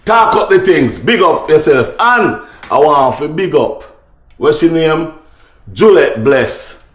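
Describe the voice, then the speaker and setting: A man's voice: a little high, lively intonation, takes it slowly, reverberant. A man with a Jamaican accent hosting casual opinion talk for his viewers, speaking emphatically and repeating phrases. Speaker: online talk show host.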